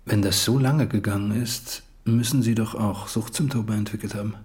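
An actor playing a concerned family doctor in a radio crime drama, measured and probing. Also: male voice, fairly low pitch, darkish tone, natural voice. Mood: concerned, firm. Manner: measured, questioning.

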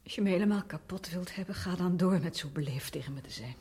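A distraught woman in an old radio play, super breathy, halting, tender. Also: on the low side, a little mellow. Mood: pleading, pained. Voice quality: breathy, whispery. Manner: halting, fraught, repeating words. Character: distraught, tender woman visiting a condemned man. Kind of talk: radio drama acting.

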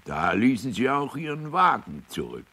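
A creaky, animated island fisherman. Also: medium pitch, normal speed, heavily acted. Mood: wistful recollection. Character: plain-spoken island fisherman giving witness testimony. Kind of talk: radio play acting.

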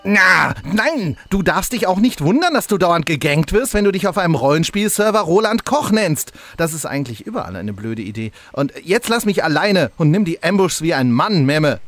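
A man's voice: a little high, big pitch swings, moderate pace, few pauses, fairly bright tone, no echo. A man voicing a grumpy, impatient male game master who scolds players in an amateur audio drama parody. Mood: irritated.

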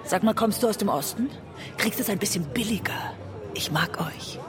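A woman's voice: medium pitch, animated, normal speed, lightly acted. An actress playing a weary, intense woman lover in a radio drama, speaking intimately and often close to a whisper. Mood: brazen, goading. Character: weary, intense woman lover, narrating and confronting her partner. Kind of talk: radio drama acting and literary narration.

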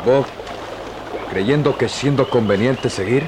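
Radio drama villain, male actor: dim, lecherous chief, broken Spanish, unhurried delivery, noisy recording. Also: mid-range voice, close to an everyday voice. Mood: grumbling.

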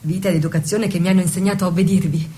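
Bit of background noise, woman playing a dignified young noblewoman in a radio play, formal and measured. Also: fairly low pitch, steady tone, mellow, natural voice. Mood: earnest, pleading. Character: devoted young noblewoman, dignified daughter and bride. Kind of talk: stage-style radio drama acting.

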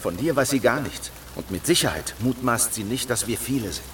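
An actor playing a calm, resolute leader of a secret heretical circle in a German radio drama, speaking with assured persuasion. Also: male voice, medium pitch, fairly quick, noisy background, lightly acted.

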